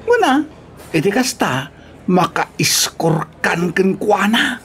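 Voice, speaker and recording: Male actor as cocky matchmaking buddy, Ilocano accent, animated highish pitch, drawn-out pace, radio drama. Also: a little hiss or hum, exaggerated voice. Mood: teasing. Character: teasing, matchmaking male workmate, mischievous and cocky.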